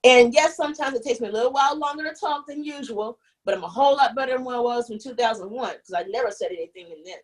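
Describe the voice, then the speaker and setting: A young adult woman telling her own story as an interview guest, candid and animated, often restarting sentences and acting out what others said. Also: a little high, fast, few pauses, poor audio. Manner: animated, restarts often, acts out quoted voices.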